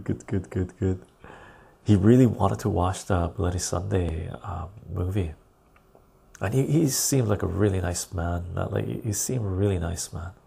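Gravelly low-voiced man chatting on a livestream. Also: warm tone. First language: Armenian. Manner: reads viewer comments aloud, chuckles often, rambling asides. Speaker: livestream host. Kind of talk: casual live-stream chat.

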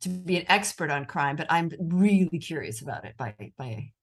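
A woman's voice: deep voice, expressive, normal speed, creaky, slightly rough. An American woman journalist answering interview questions in a thoughtful, conversational way, often restarting her sentences. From United States. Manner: thoughtful, with frequent restarts and fillers. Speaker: journalist and author, interview guest.

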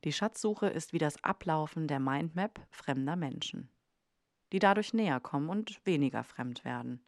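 A woman's voice: deep voice, lively intonation, normal speed, no echo, clean studio sound. A woman host reading scripted documentary narration for a podcast. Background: faint music.

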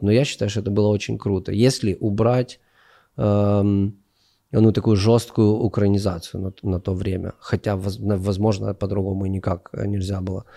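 Low gravelly man, hesitant and mellow. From Ukraine. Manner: hesitant, many fillers and restarts. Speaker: guest musician. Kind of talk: casual conversational interview.

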